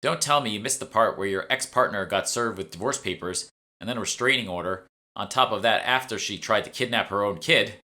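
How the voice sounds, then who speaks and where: A man's voice: fairly low pitch, brisk, sharp tone. A man voicing a bossy, curt, sardonic female guild fixer in an audiobook. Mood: impatient, knowing. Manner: curt, impatient, know-it-all.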